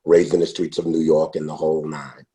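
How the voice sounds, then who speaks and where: A man in his sixties, a podcast interview guest, telling his life story in an unhurried, reflective way with frequent restarts. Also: rumbling low, completely flat delivery, dark tone. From United States. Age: 60s. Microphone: podcast microphone.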